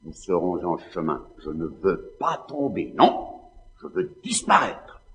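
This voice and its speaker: A man's voice: deep voice, sing-song, unhurried, a bit bright, heavily acted. An actor playing a proud, scheming Spanish nobleman in a classical French stage drama, in a theatrical, declamatory manner. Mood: outraged, bitter. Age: middle-aged. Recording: slightly dull, long hall reverb.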